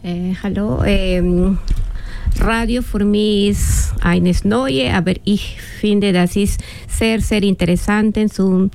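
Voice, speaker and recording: Halting, creaky-voiced woman from Peru. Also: medium pitch, darkish tone, poor audio. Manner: hesitant, halting non-native phrasing. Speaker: radio workshop participant.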